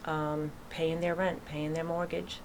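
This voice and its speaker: A woman's voice: sits low, little pitch movement, a little slow, noisy background. A woman in her sixties with a Boston accent, talking as a guest in a studio interview in a friendly, plain-spoken way. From Massachusetts, USA. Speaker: guest, charity founder. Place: local radio studio.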